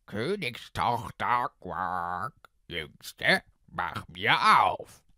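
A man voicing a bargaining, pleading frog in a fairy-tale audiobook, drawing out his words with croaking quacks. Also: on the low side, big pitch swings, sharp tone, no room sound, clean studio sound, full character voice. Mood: demanding. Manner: drawn-out, punctuated by croaking quacks.

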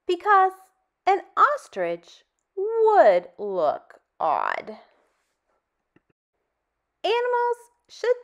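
A woman in her thirties hosting a read-aloud for preschoolers, with sing-song, exaggerated, animated enunciation. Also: sits high, lo-fi sound. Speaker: storytime host for young children.

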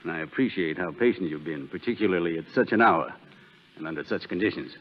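A man's voice: low voice, fast. A man playing an injured, secretive stranger in 1930s radio drama, with a curt, formal manner. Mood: formal, grateful. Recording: muffled, phone-like 1930s radio recording.

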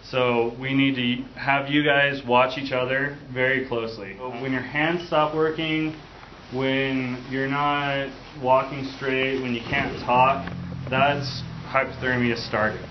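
A man's voice: mid-range voice, fairly even intonation, takes it slowly. A man working as a guide, giving a safety briefing to a group indoors. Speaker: mountain guide. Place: indoor meeting room. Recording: roomy, muffled, noisy camera sound.

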